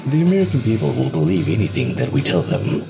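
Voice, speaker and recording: A cynical government boss, acted by a man in an audio drama. His voice is deep and creaky, swinging widely as he gives smug, commanding pronouncements. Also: wildly swooping pitch, over music, full character voice. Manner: slow, commanding, smugly assured.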